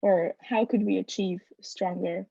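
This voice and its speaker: Gravelly-voiced woman interviewer, dull dry sound. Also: mid-range voice, steady tone, measured pace, mellow, no room sound. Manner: hesitant, friendly questioning with fillers. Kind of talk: casual interview questions.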